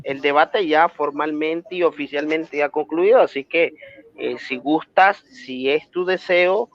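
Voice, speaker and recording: A man's voice: on the high side, no echo. A man from Nicaragua with a Nicaraguan accent moderating an online debate, speaking formally with frequent hesitations. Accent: Nicaraguan Spanish. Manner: measured, formal, many hesitations. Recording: dull lo-fi online stream audio.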